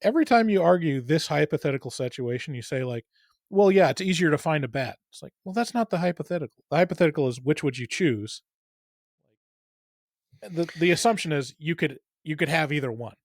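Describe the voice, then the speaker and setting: A man's voice: on the high side, lively intonation, a little fast, no room sound, studio quality. A man co-hosting a podcast, talking casually and tentatively as he thinks aloud. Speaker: podcast co-host.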